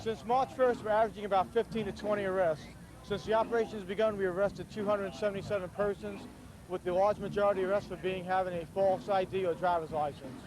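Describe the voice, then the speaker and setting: Fast-talking, high-pitched male police officer. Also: fairly even intonation, low-quality recording. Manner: procedural, matter-of-fact. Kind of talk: explaining procedures and instructing people on a street patrol. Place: busy street outdoors at night.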